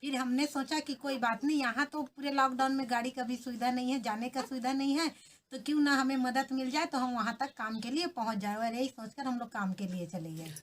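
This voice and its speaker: A woman's voice: high voice, fairly quick, slight background noise. A woman being interviewed who tells plainly and earnestly what happened to her as a migrant worker. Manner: plain, earnest storytelling with short restarts. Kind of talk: recounting personal experience in an interview.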